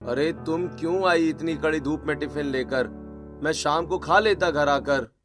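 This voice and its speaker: Earnest, higher-pitched farmer husband acted by a male storyteller. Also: measured pace, music in the background, natural voice. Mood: concerned. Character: concerned young village farmer husband. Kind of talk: scripted story dialogue.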